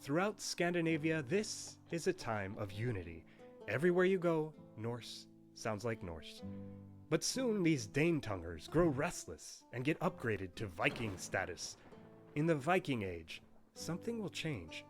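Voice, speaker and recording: A man's voice: medium pitch, very animated, a little slow. A man narrating a scripted history of a language in a playful, wry storytelling manner. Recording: narration with faint background music.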